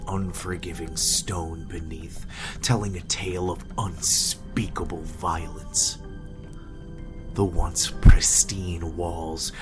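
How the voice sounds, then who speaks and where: Very deep, slow man narrating gothic horror. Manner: hushed, breathy, ominous delivery. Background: music.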